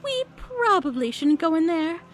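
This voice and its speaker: A woman's voice: very high voice, very animated, moderate pace, faint music behind. A woman voicing an elegant, flirtatious female pony fashionista in a fan audio drama, with a genteel, theatrical delivery. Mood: teasing.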